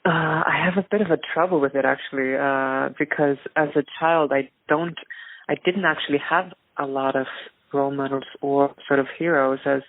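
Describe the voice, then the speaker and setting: A woman's voice: a bass voice, steady tone, normal speed, dry recording, narrow, tinny audio. A woman from rural Iceland with an Icelandic accent, talking thoughtfully and hesitantly as an interview guest. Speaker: interview guest, activist and filmmaker. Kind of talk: reflective interview talk.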